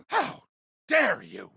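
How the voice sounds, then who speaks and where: A man voicing a bloodthirsty, irascible pirate captain for a video game, ranting theatrically in archaic sea-dog speech with a gravelly growl. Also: high-pitched, huge pitch swings, drawn-out words, bright, forceful tone, dry recording, studio quality, full character voice. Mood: indignant, menacing. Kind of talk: video game character acting.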